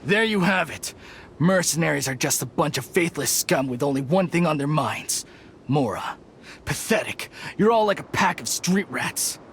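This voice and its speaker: A man's voice: on the high side, expressive, normal speed, gravelly, fairly bright tone, a little hiss or hum. A man voicing a self-righteous male desert cult zealot in a game cutscene, contemptuous and sneering. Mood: scornful. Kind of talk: video game cutscene dialogue.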